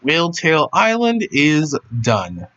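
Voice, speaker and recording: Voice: mid-range voice, wildly swooping pitch, slow pace, a bit bright, dull audio. A streamer with a whiny voice, chatting casually and rambling, with phlegm in the throat and sudden yelps. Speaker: live streamer.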